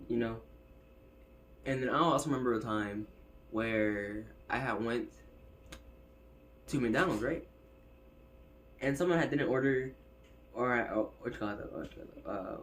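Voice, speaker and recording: A man's voice: medium pitch, fairly bright tone. Teen male vlogger, stutters, rambles with fillers, phone-recorded bedroom chat, faint hiss. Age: teens. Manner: rambling, many fillers and restarts. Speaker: YouTuber. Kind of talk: casual vlog chat. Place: his bedroom. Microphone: phone set up in room.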